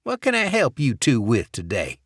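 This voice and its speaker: A man voicing a gruff, grumpy, work-dodging office manager in an audiobook. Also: mid-range voice, huge pitch swings, normal speed, no room sound, studio quality, pitched above the performer's own voice.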